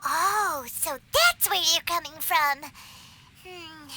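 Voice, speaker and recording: A woman voicing a tiny, excitable female fairy companion who chatters about herself in the third person, in a game cutscene. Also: very high voice, slow pace, slight background noise, heavily acted. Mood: chatty. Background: game score music.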